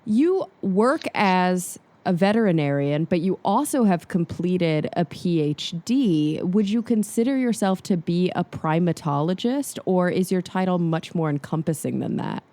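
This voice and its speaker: A woman's voice: on the low side, animated, measured pace, few pauses, warm tone. A woman hosting a podcast, asking long, careful interview questions in a friendly way. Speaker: podcast host. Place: Los Angeles.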